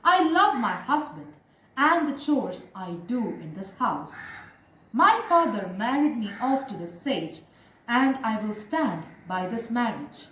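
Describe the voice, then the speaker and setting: A woman storyteller voicing a gentle, dutiful young princess who speaks earnestly and politely, with steadfast reassurance. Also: on the high side, very animated, slow, exaggerated voice. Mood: polite, firm. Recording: roomy, distant mic, faint noise.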